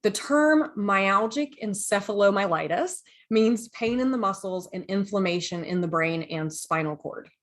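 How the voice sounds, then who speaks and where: A woman's voice: medium pitch, normal speed, hardly any pauses. A woman from the United States hosting a podcast, chatting enthusiastically and sometimes reading from her notes. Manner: chatty, enthusiastic, lively asides. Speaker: podcast host.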